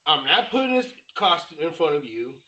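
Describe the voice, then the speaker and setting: A man's voice: on the high side, big pitch swings, normal speed, pushed and bright, muffled recording. A man playing a crude, whiny young sidekick who grumbles in slang in a screenplay table read. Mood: grumbling. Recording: distant from the microphone.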